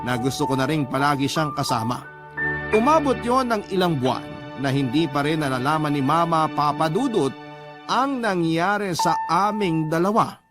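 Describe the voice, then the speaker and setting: Man, Filipino accent, radio storyteller, scripted dramatic narration, slightly high voice, prominent music bed. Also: lively intonation, moderate pace. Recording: loud music under the voice. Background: loud background music.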